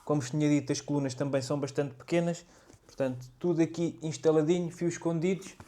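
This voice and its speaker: A man's voice: fairly high pitch, fairly even intonation, fast, dark tone. Portuguese man giving a casual gear review. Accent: European Portuguese. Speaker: motorcycle vlogger reviewing gear. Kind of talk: casual product review to camera.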